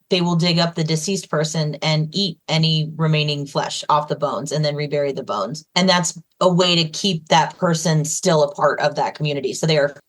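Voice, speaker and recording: A woman guest and editor explaining customs in a plainspoken, emphatic conversational way. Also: sits low.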